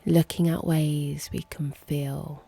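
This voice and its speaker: Slow, low-voiced woman guiding meditation. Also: level tone, creaky, mellow, slightly rough. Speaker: host and meditation guide. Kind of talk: guided meditation, slow and hushed.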